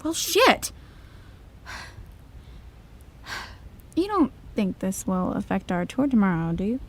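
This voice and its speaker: An actress playing a groggy, placating young best friend in an audio drama, casual and hesitant. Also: female voice, medium pitch, very animated, moderate pace. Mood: confused. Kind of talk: naturalistic audio drama acting.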